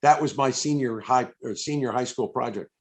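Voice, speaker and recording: A man conducting a conversational interview over a video call, asking long, musing questions in a halting, rambling way as he thinks aloud. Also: mid-range voice. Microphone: video call microphone.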